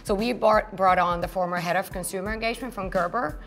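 A woman's voice: mid-range voice, fast talker, fairly bright tone. Earnest Finnish-born woman explaining her business. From Finland. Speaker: co-founder and guest. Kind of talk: business interview conversation. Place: open-plan office, indoors.

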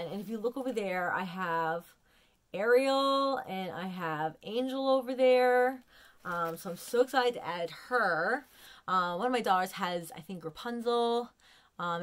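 A woman in her forties with an American accent, chatting casually and excitedly to camera in a room at home. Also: on the low side. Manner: excitable, gushing. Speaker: vlogger showing a haul of collectibles. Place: small room at home, indoors.

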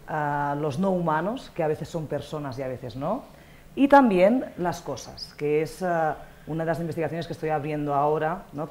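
A middle-aged woman, a native Catalan speaker with Panamanian-influenced Spanish, giving an animated, conversational academic lecture with frequent check-in tags. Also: deep voice. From Catalonia, Spain. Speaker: guest lecturer, academic anthropologist. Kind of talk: informal spoken academic lecture. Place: lecture hall stage. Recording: clear background noise in auditorium.

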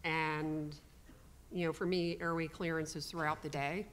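A middle-aged woman speaking haltingly with many fillers on a conference panel, at a microphone. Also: deep voice, flat delivery, a little hiss or hum. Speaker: patient panelist. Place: conference hall stage panel. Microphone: stand microphone and headset mic.

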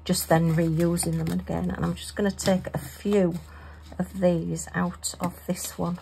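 Chatty woman, gravelly voice. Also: fairly low pitch, little pitch movement, measured pace, dark tone, a little hiss or hum, rough recording. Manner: chatty, talks to herself. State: losing her voice. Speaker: craft vlogger. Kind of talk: casual chat while crafting.

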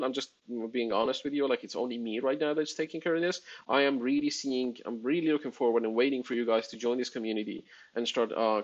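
A man whose first language is Farsi, walking through a software release in a relaxed, rambling way, with frequent fillers. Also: mid-range voice, dull audio. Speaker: software developer presenting a release. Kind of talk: casual screen-share walkthrough.

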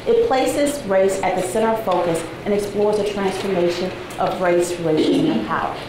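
A woman in her forties giving an academic talk to a seated audience, soft-spoken and conversational with frequent ums, heard from a distant camera in a large seminar room. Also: medium pitch, moderate pace. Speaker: guest lecturer. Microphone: far camera, no visible mic. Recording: echoey room, noisy background.

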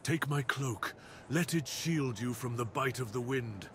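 Casting: male actor as commanding, brooding wolf beast, mid-pitched, formal and deliberate, for ASMR fantasy drama. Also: a bit bright, slight background noise, natural voice. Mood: solemn, reassuring. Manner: solemn, deliberate, theatrical delivery with formal wording. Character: brooding cursed dire wolf beast-man, commanding castle master. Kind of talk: ASMR roleplay, dramatic monologue.

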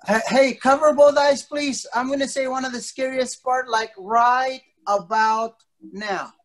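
A middle-aged man telling a playful story to children as their instructor, theatrical, with drawn-out emphasis and pauses. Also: very high voice, fairly even intonation, fairly bright tone, poor audio. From an island country. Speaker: martial arts instructor telling stories to children. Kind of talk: playful interactive storytelling for kids. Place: indoor wood-panelled studio, on a video call.

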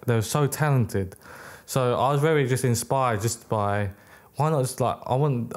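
A young man born in the UK, a record label founder talking casually as a podcast guest, rambling with many restarts, on a boom mic in a small studio. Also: a little mellow. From United Kingdom. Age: young adult. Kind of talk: casual interview. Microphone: boom mic on arm, headphones.

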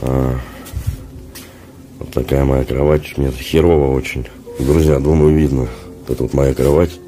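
A man with an extremely low, flat voice talks casually to his vlog viewers. He is sick with a sore throat and his voice is worn out, so he speaks in halting phrases. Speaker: vlogger. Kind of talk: casual vlog talk to camera. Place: small dormitory room, indoors. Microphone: handheld phone at arm's length.

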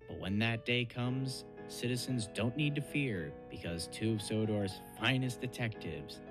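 A man narrating a children's train story in an expressive, scripted storybook style. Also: mid-range voice, unhurried, over music.

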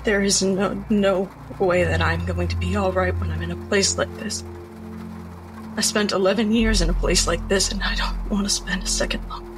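A woman playing a wounded, bitter adventurer in a tabletop game, speaking in a halting, deliberate, heavy-hearted way. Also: medium pitch, fairly even intonation, music in the background, natural voice. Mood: distressed, defiant. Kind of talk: in-character tabletop roleplay.

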